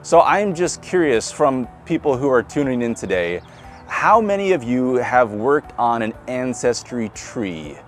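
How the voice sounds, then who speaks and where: A man's voice: mid-range voice, very animated, unhurried, soft background music. A man in his forties with a Midwestern American accent, a pastor giving an online sermon to camera in a conversational way. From Nebraska. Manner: conversational, chuckles, repeats words when restarting. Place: outdoors beside a brick building. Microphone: camera at close range.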